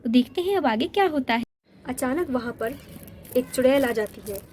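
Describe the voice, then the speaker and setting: Storyteller: woman, high voice, steady narration for a kids' doll video, faint noise behind. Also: moderate pace.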